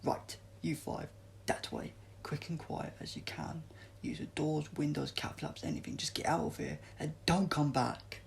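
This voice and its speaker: A man reads a heroic, easily exasperated doctor in a storybook adventure. The voice is gravelly and commanding, giving emphatic warnings over faint background noise. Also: fairly high pitch, fairly even intonation, a little slow, pitched above the performer's own voice. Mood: urgent. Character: urgent, exasperated heroic male alien doctor.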